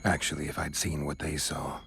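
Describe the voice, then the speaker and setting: Super deep gravelly monster hunter in a game, deadpan, barely says much, tired of it all. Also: male voice, a little fast, full character voice. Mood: wry, guarded. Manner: deadpan, terse, guarded. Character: laconic, dry, world-weary male monster hunter. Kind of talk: video game cutscene voice acting. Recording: music behind the voice.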